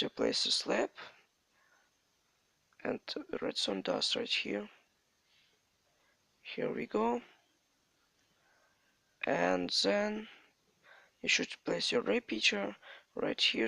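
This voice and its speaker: A Ukrainian-accented man with a native Ukrainian speaker's halting English, walking through a game tutorial. His voice is heavily gravelly and fried, bright and sharp in tone, and swings widely in pitch. He speaks slowly, in a dry, close sound. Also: big pitch swings, no echo. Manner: halting non-native English, repeats stock phrases. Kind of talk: step-by-step gameplay tutorial narration.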